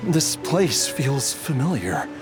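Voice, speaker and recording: An actor playing an earnest, ailing man in a video game, with a halting, pleading, emotional delivery. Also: mid-range voice, big pitch swings, over loud music. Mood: uneasy, searching. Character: earnest, desperate ailing man with failing memory. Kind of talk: dramatic video game acting.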